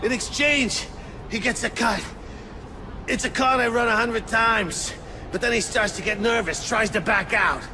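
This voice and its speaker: An actor playing a nervous, self-serving con artist confessing under interrogation in a video game, sounding agitated. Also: male voice, very high voice, measured pace, background noise. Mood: agitated, defensive.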